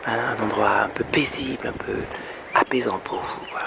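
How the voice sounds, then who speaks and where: A man from Canada putting on a European French accent with some Québécois turns, speaking as a singer and vocal coach in a casual, humorous vlog, mock-earnest and halting as he drifts into asides. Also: mid-range voice, fairly even intonation, creaky, noisy background. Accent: French, deliberately European French with some Québécois turns.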